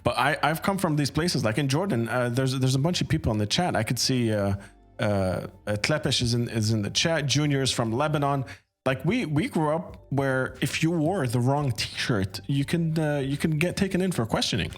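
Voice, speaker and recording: Halting male podcast host. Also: medium pitch. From Iraq. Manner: halting, many fillers and restarts. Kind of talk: casual interview chat.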